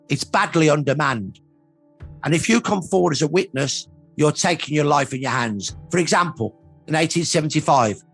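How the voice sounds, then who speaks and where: A husky-voiced man speaks as a historian in a documentary interview. He explains emphatically, repeating phrases for stress, over faint music. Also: a little high. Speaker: historian, expert commentator. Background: faint background music.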